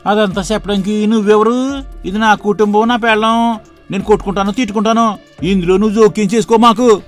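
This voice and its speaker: A man's voice: high-pitched, fairly even intonation, brisk, faint music behind, pitched above the performer's own voice, lightly acted. A man voicing a belligerent, domineering village husband in a moral story. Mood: angry.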